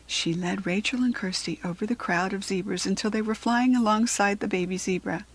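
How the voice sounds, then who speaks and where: A woman's voice: mid-range voice, a little fast, slightly rough, low-quality recording. A woman with an American accent reading a children's storybook aloud as a narrator.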